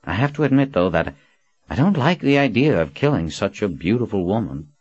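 A man's voice: lowish voice, very animated, brisk, a little mellow, muffled recording, pitched above the performer's own voice. A man voicing a cocky young male assassin, boyish and mocking, with falsely polite, offhand talk. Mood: smug.

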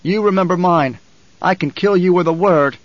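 A man's voice: sits high, moderate pace, no echo, dull audio, pitched above the performer's own voice, lightly acted. A man voicing a cold, defiant young nobleman in an audiobook, speaking in a controlled, declamatory way. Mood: threatening.

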